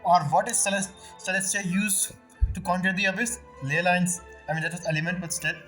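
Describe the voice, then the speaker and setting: A woman's voice: fairly low pitch, flat delivery, fairly quick, fairly bright tone, soft background music. A woman streamer giving casual, musing gameplay commentary with non-native English phrasing. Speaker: game streamer.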